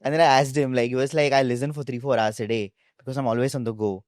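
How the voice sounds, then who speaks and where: A man's voice: mid-range voice, fairly even intonation, fast, darkish tone, no room sound, studio quality. A young man with an Indian accent, a founder telling a story casually and acting out the dialogue. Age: young adult. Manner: acts out quoted dialogue. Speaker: startup founder, interview guest.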